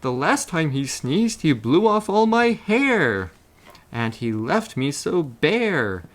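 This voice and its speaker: A man's voice: on the high side, big pitch swings, takes it slowly, voice pushed up. A man voicing an aggrieved, complaining bear in a children's storybook. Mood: indignant, pleading. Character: aggrieved bear complaining about lost hair.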